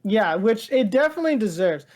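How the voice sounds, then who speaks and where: A woman co-hosting a pop-culture podcast. She talks in a loose, gushing ramble full of filler words, like an excited fan chatting with a friend. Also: mid-range voice, brisk. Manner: rambling, heavy use of "like" and "dude"; enthusiastic, gushing. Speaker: podcast co-host. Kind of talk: casual pop-culture chat.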